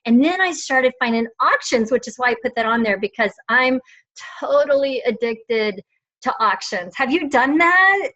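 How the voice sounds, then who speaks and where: A woman's voice: sits high, animated, normal speed, sharp tone, muffled recording. A middle-aged woman chatting as a guest on a video call, enthusiastic and rambling with lots of fillers. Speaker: guest, online reseller. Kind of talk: casual video chat.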